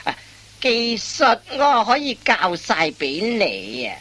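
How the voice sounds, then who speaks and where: A woman playing a wily veteran master thief in a comic radio play, instructing in drawn-out phrases full of interjections. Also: mid-range voice, very animated, a bit bright, full character voice. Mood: self-satisfied, instructive.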